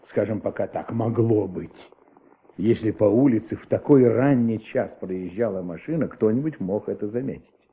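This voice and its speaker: A man playing a middle-aged, methodical police commissioner who thinks aloud and questions deliberately in a Soviet radio drama. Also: on the low side, warm tone, breathy edge. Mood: pondering. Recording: old Soviet radio recording, faint music.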